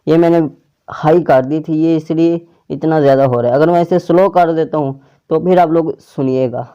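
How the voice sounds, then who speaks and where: Man, tech tutorial host, slightly high pitch, soft-edged tone, step-by-step instructions, muffled lo-fi recording. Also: moderate pace, smooth. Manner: step-by-step instructions addressed to viewers. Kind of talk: casual screen-recording app tutorial.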